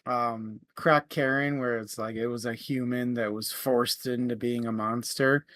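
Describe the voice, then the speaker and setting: A man's voice: mid-range voice, fairly even intonation, a little slow, fairly bright tone, no room sound, clean studio sound. A man co-hosting a book discussion show in a casual, conversational way.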